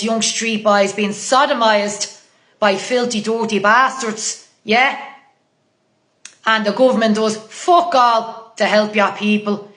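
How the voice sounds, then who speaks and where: A woman's voice: on the high side, fairly bright tone. Angry Irish woman ranting in an echoey room. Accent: Irish, Dublin. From Ireland. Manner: heated, combative, heavy swearing, blunt. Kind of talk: angry monologue to camera.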